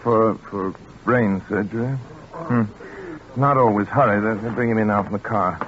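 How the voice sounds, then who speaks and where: An actor playing a cold, obsessed scientist and surgeon in a 1940s radio drama, speaking in a clipped, imperious, detached manner. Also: male voice, lowish voice, fairly even intonation, normal speed, slight background noise, natural voice. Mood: curt, calculating. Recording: phone-like old radio broadcast with loud music.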